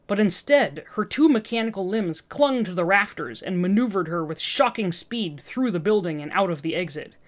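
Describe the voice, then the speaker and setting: Woman narrating a dramatic story. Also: lowish voice. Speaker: fan fiction narrator.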